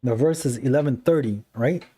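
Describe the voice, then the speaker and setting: A man hosting a live call-in religious debate on a phone stream, explaining his points earnestly and briskly. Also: mid-range voice, mellow, breathy edge. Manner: earnest, explanatory, quick to dismiss callers. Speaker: livestream host debating callers. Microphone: phone livestream.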